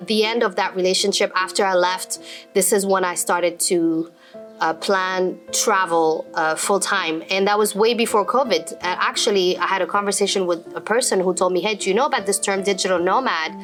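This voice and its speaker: A woman's voice: medium pitch, steady tone, moderate pace, faint music behind. A woman in her thirties who grew up in Belgium, telling her own story unscripted and speaking directly to viewers with advice. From Belgium (born in Morocco). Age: 30s. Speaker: travel vlogger and business coach. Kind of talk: unscripted personal story to camera.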